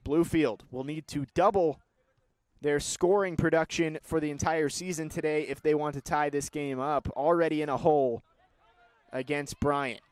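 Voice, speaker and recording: A young man calling live sports play-by-play, moving between measured description and excited calls. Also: on the high side, clean tone, no echo. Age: young adult.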